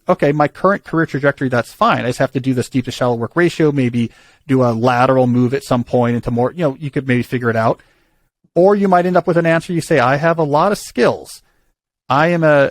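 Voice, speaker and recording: A man's voice: medium pitch, no room sound. A man hosting a call-in advice show, talking through ideas thoughtfully with frequent restarts. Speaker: podcast host giving career advice.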